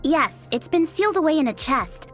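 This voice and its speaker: A woman's voice: high-pitched, animated, moderate pace. An actress playing a sassy, playful young woman soldier in a video game. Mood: playful explaining. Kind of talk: English video game dub acting. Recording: music behind the voice.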